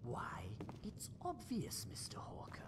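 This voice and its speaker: A woman voicing a sly, cryptic riddler in a video game, with a breathy, whispery voice and theatrical delivery. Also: wildly swooping pitch, measured pace. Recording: rumbling background noise.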